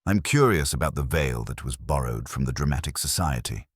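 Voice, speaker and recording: A man voicing a calm, probing police inspector, measured and polite, in a storybook mystery. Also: very deep voice, slightly rough, no room sound, clean studio sound, pitched below the performer's own voice, exaggerated voice.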